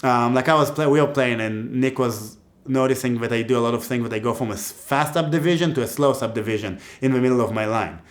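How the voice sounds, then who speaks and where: A man's voice: medium pitch, fast, hardly any pauses. Joking Israeli man giving music advice. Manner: chatty and joking, with many asides. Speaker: music teacher. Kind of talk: casual teaching talk to camera.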